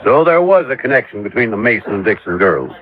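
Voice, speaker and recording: A man's voice: on the low side, very animated, fast, faint music behind. An American man playing a plain-spoken, easygoing police captain in a 1950s radio drama, matter-of-fact and folksy. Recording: dull vintage radio recording.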